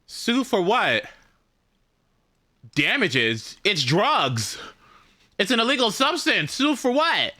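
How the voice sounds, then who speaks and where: A woman's voice: medium pitch, big pitch swings, takes it slowly, long pauses, bright, forceful tone, dry recording. A woman streaming a game, reacting casually and bluntly in an incredulous, exclamatory way. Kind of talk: casual, profane live reaction. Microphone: close streaming microphone.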